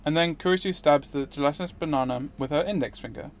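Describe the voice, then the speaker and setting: A man's voice: on the high side, quick pace. A man streaming a visual novel, reading its narration aloud in a dramatic style.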